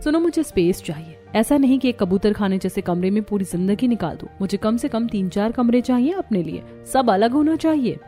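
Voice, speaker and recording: A woman's voice: medium pitch, lively intonation, brisk, few pauses, warm tone, faint music behind, close to an everyday voice. A woman voicing a spoiled, brash young modern daughter-in-law in a cartoon story, haughty and demanding, mixing in English words. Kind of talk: Hindi cartoon story dialogue.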